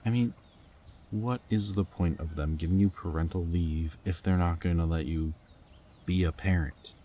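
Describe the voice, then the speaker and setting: A man's voice: low voice, fairly even intonation, normal speed, warm tone. A man playing a goofy, affectionate young rebel scientist in an intimate roleplay audio drama, talking tenderly and earnestly to his partner. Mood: worried. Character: goofy, affectionate young male rebel lab scientist and anxious foster parent.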